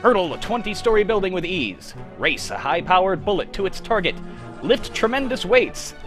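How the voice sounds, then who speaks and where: A man's voice: high-pitched, sing-song, moderate pace, soft background music. A man playing a theatrical, emphatic announcer in the style of a 1940s radio serial. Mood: grand, excited. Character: dramatic old-time radio announcer, grand and excited. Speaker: announcer in an amateur live script reading.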